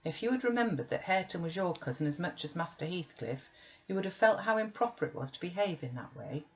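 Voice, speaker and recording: A narrator voicing an earnest, protective housekeeper woman in a storybook, gently reproving a young person. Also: mid-range voice, expressive, moderate pace, dull audio, voice pushed up.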